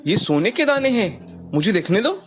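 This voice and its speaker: A man's voice: sits high, big pitch swings, moderate pace, faint music behind. A man voicing an agreeable, curious village husband in a children's story. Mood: surprised.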